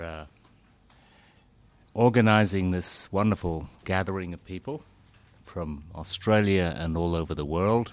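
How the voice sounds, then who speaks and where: Low-voiced man giving a halting speech. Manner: halting, many fillers, grows more animated. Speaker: speaker at a gathering, former foreign correspondent. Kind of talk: speech to an audience.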